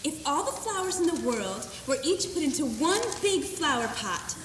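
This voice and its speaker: Chirpy, whimsical young woman, played by a young actress, high and lively; distant, roomy live stage recording. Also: normal speed. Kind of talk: amateur stage acting. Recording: distant, echoey hall with audience noise.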